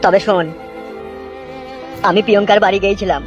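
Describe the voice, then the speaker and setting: A woman's voice: medium pitch, steady tone, a little fast, lo-fi sound, over music, lightly acted. A woman voicing a lovesick, hot-tempered young man in a comedy cartoon, melodramatic and slangy. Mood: pleading. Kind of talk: Bengali comedy cartoon dub.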